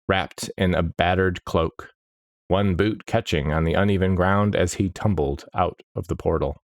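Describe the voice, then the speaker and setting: Male audiobook narrator for dark fantasy; unhurried, grave, measured delivery. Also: deep voice, no echo, studio quality.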